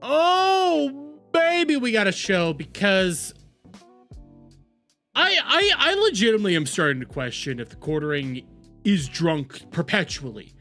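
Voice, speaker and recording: A male streamer rants to his live chat in a loose, foul-mouthed, excitable way. His pitch leaps all over the place and his tone is sharp and bright. Soft music plays faintly underneath. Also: measured pace. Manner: rambling, profane, excitable. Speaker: livestream host. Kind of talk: casual livestream chat.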